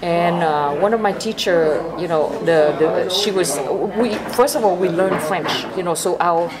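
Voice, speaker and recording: Older woman, halting with fillers. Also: fairly low pitch, rough recording. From Vietnam. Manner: hesitant, many fillers, laughs often. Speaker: interview guest. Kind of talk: casual interview storytelling. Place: restaurant in Bangkok. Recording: busy crowd noise behind.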